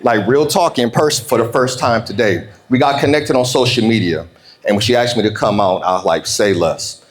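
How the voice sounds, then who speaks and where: A man's voice: medium pitch, moderate pace. An American man speaking informally and directly to an audience as he gives a motivational keynote talk. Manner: conversational, informal, addresses listeners directly. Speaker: keynote speaker, entrepreneur coach.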